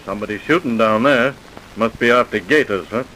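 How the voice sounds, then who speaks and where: An actor playing a stubborn, hard-drinking small-town husband in a vintage radio crime drama, talking in a folksy way. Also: male voice, fairly low pitch, brisk, sharp tone, rough recording. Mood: grumbling. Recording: hissy vintage radio recording.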